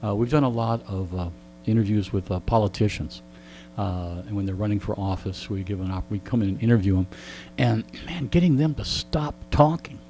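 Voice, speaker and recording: A man's voice: fairly low pitch, fairly quick, mellow, a little hiss or hum. A man talking casually as a radio interview guest, telling anecdotes with a wry, joking manner. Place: radio studio.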